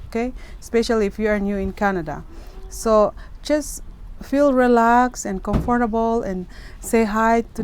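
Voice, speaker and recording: A woman's voice: a little high, a little mellow, slight background noise. A middle-aged woman from the Philippines with a Filipino accent, giving casual advice to camera in an earnest way, often checking in with "okay?". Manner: earnest, repeats herself, keeps asking "okay?".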